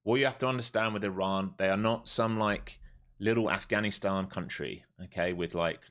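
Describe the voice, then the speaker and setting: A man's voice: lowish voice, normal speed, fairly bright tone. A man, chatting casually and bluntly while trading live on stream. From Europe. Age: 40s. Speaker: livestream day trader. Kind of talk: live market commentary and chat.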